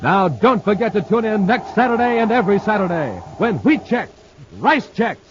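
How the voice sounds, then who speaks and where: A male radio announcer reading ads and promos in a booming, salesy, emphatic 1950s style. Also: sing-song, moderate pace. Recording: muffled old radio transcription with faint hiss.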